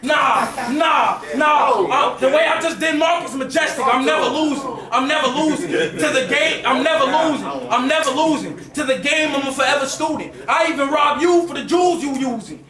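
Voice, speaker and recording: Young battle rapper, very high voice, shouts and repeats bars on a handheld mic in a roomy, crowded club. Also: male voice, moderate pace, hardly any pauses, a loud crowd. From New Jersey. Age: 20s. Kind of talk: rap battle verses. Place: packed indoor club venue.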